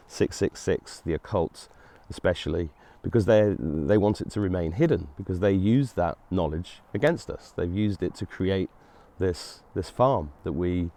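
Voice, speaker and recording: A man's voice: deep voice, mellow. A middle-aged man hosting his own show, talking earnestly and rambling, with many restarts. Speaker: host. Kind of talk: solo monologue to camera.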